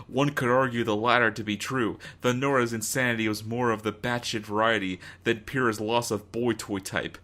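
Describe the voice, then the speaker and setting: A man's voice: fairly low pitch, a bit bright. A man reading a story aloud as a dramatic narrator, in a mock-serious, theatrical manner. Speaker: narrator of a fan fiction reading.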